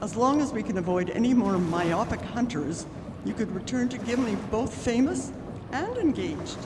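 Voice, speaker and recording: A woman's voice: fairly high pitch, animated, moderate pace, close to an everyday voice. A woman playing a genteel, sympathetic lady in a radio drama, speaking politely and articulately. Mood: admiring, teasing. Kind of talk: stage radio drama acting. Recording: reverberant hall with clear background noise.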